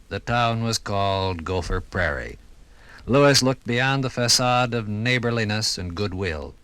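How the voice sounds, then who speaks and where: An American man narrating a scripted 1950s radio documentary with formal, measured, dramatic phrasing. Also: medium pitch, a bit bright, no echo.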